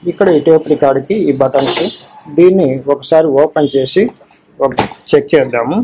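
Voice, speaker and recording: A middle-aged or older man gives an informal repair demonstration, explaining in short, halting phrases and repeating himself. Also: on the high side, mellow, slight background noise, rough recording. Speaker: electronics repair technician and presenter.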